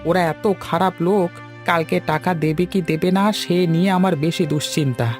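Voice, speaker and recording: A man plays a middle-aged cartoon shopkeeper, a weary and anxious father. His voice is high for a man and plaintive, fretting along over background music. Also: fairly even intonation, fairly quick, close to an everyday voice. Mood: weary, worried. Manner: plaintive, fretful. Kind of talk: cartoon dialogue.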